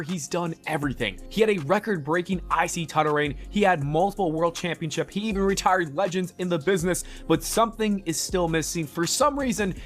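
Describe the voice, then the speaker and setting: A man with a fairly high voice narrates a scripted opinion video. He phrases his points punchily and with emphasis, with music playing faintly underneath. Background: background music.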